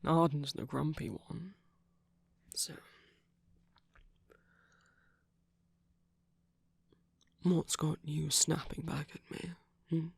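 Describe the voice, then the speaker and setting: A man playing a soft, caring vampire boyfriend in an intimate ASMR roleplay, speaking gently with pauses. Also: fairly high pitch, no echo, full character voice. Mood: gently probing. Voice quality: soft, breathy.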